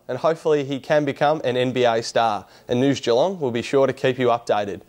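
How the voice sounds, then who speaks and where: An Australian man presents sport in a news style. His voice sits at a middle pitch, and he moves between scripted reporting and informal interview questions. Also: a little mellow.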